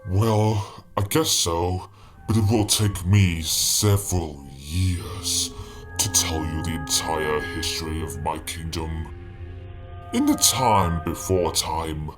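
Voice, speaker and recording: A man voicing a menacing, smug robotic tyrant in a fan-dubbed cartoon, with a theatrical, mock-polite manner. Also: low voice. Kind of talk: fan-dub cartoon villain acting.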